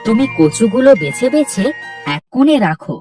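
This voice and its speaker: A woman voicing a poor young male laborer who talks softly and hopefully, pleading with his wife. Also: a little high, sing-song, normal speed. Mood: eager. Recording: distant voice with cartoon music behind.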